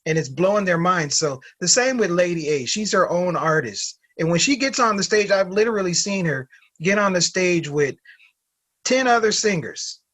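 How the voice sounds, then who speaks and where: A man joining an interview, talking in an animated way and often tagging his points with a question. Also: high voice, moderate pace. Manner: animated, keeps asking "right?". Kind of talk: casual interview chat.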